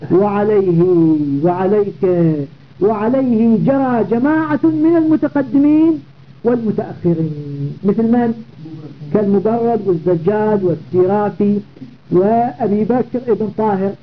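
A man's voice: high-pitched, expressive, moderate pace. A man teaching a classical grammar lesson. He explains methodically, repeats key phrases and stops to check that students follow. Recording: tinny phone-like audio with faint noise.

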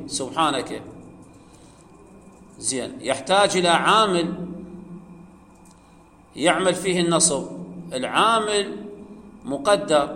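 A man teaching a grammar class, explaining step by step, asking his students questions, and chanting quoted verses slowly. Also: fairly high pitch, bright, forceful tone, a little hiss or hum. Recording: echoey hall with faint ambience.

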